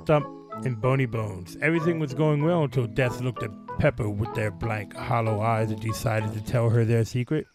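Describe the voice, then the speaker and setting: A man's voice: medium pitch, moderate pace. A man hosting a reading of horror fan fiction, narrating the story and dropping into informal asides. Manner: informal asides between read passages. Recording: music playing behind the voice.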